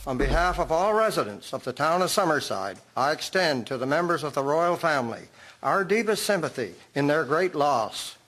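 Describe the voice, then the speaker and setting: A man playing a solemn, dignified small-town mayor in a radio drama, speaking formally and with measured delivery. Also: fairly high pitch, lively intonation, fairly bright tone, rough recording. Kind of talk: amateur radio drama acting.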